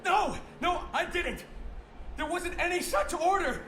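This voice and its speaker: High-pitched, panicky coward. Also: male voice, unhurried, sharp tone, a little hiss or hum, exaggerated voice. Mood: terrified. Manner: stammering, frantic. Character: panicky, cowardly male official, pleading and bargaining. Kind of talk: dramatic video game acting. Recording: echoey, music behind.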